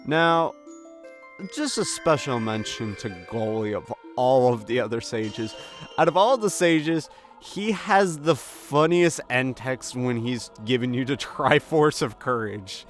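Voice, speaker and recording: Male let's play host, medium pitch, chatty and informal commentary with game music underneath. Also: lively intonation, slow. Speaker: host of a game playthrough series. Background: video game music.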